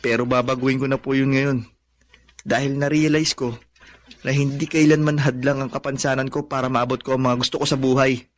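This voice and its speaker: An actor playing a humble, hopeful young son in a radio drama, speaking politely and sincerely. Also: male voice, mid-range voice, level tone, brisk, natural voice. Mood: earnest, resolved. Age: young adult.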